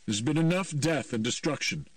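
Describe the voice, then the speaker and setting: A man voicing a grieving mother who speaks firmly and gently as she forgives. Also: on the high side, lively intonation, normal speed, no echo, far from the mic, pitched above the performer's own voice. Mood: earnest.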